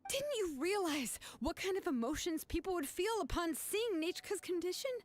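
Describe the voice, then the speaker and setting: A woman voicing a calm, firm young woman who confronts a grieving aunt in earnest, measured speech. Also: extremely high pitch.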